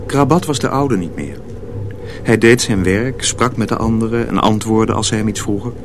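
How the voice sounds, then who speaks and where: An actor as a calm, solemn storyteller, reading scripted narration in a radio drama. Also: male voice, fairly low pitch, normal speed, noisy background, natural voice.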